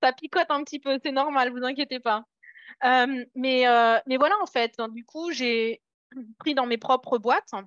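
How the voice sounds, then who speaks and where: A woman's voice: high-pitched, fairly even intonation, moderate pace, a bit bright, no room sound, muffled recording. A woman leading a casual motivational training session for her sales team, encouraging and hesitant, with many fillers and restarts. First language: French. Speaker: network marketing team trainer.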